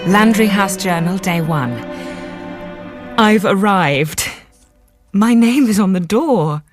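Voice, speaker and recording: A woman's voice: medium pitch, no echo, studio quality. An actress playing an earnest, newly qualified young woman psychiatrist in a radio drama, polite and well-spoken. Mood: reflective. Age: young adult.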